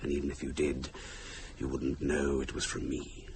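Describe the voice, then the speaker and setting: An actor playing a wry, articulate young British husband who teases morbidly in a radio thriller. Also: male voice, rumbling low, completely flat delivery, moderate pace, noisy background. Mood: dry, teasing. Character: sardonic, teasing young British husband, educated and morbidly playful. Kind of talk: radio thriller drama dialogue.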